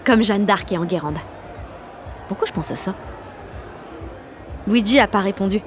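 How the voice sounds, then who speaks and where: A woman's voice: medium pitch, normal speed, noisy background, natural voice. A woman playing a cocky, exalted sixteen-year-old girl activist, narrating an audio drama in brash, confiding slang. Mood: infatuated, excited. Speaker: lead actor and narrator.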